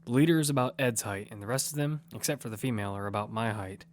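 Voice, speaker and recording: A man's voice: medium pitch, quick pace, a little hiss or hum, close to an everyday voice. An actor playing a wary young man who narrates and speaks in a battle-survival audio drama. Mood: cautious, serious. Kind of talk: first-person audio drama narration and dialogue. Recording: music behind the voice.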